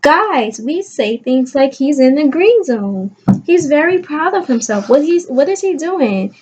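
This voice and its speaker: A woman kindergarten teacher giving an expressive read-aloud lesson to young children, coaxing listeners with questions and stressing key words. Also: sits high, measured pace, slight background noise, rough recording.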